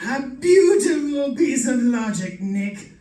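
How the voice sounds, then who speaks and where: In a roomy, distant stage recording, a man plays a suave, gloating male villain from an old-time radio play. His voice is very high and he takes his time, condescending and theatrical with every phrase. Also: big pitch swings, takes it slowly, warm tone, full character voice. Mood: smug menace. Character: gloating male villain, urbane murderer toying with detective. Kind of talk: live old-time radio drama acting.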